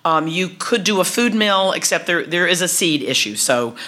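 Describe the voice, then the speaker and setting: Bright-voiced chatty woman. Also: fairly low pitch, lively intonation, moderate pace. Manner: chatty, warm, restarts and laughs often. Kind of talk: casual talk to an audience.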